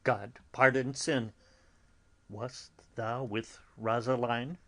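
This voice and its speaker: An actor playing an elderly, fatherly friar who muses in classical verse with deliberate pauses and sudden swells, gently chiding. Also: medium pitch, steady tone, heavy background noise. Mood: fatherly, probing. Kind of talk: classical verse drama, theatrical dramatic reading.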